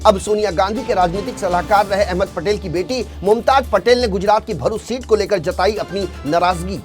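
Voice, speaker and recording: A man's voice: high voice, a little fast, soft background music. A man reading a scripted news bulletin as an anchor, in a formal, emphatic headline delivery.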